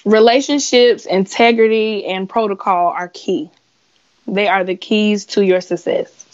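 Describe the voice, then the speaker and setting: A woman in her twenties, a singer being interviewed, chatting casually in a giggly, animated storytelling way. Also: medium pitch, unhurried, lo-fi sound. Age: 20s. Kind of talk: casual chat.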